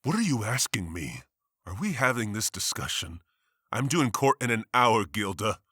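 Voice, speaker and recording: Guy doing a tense lawyer husband, low pushy voice, curt. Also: big pitch swings, moderate pace, bright, forceful tone, breathy edge, no room sound, studio quality, full character voice. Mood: defensive. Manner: curt and defensive. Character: stressed defensive male district attorney.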